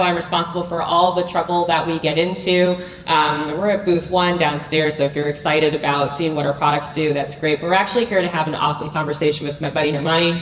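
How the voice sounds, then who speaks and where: A woman with a low voice moderates a conference panel, chatty and upbeat and full of fillers. She sounds echoey, picked up from a distance in a big hall with a faint hiss. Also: a bit bright. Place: large conference room.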